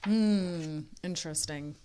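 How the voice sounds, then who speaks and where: A woman's voice: lowish voice, takes it slowly, mellow, a little hiss or hum. A woman reading a picture book aloud expressively, stopping for teacherly asides to the listener. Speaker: reading tutor.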